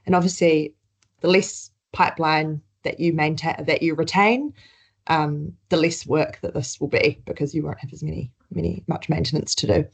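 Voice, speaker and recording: Kiwi woman talking through slides on a webinar, low voice, lots of ums. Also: fairly even intonation, moderate pace, vocal fry, husky. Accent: New Zealand. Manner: conversational, with frequent ums and restarts. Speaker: webinar presenter.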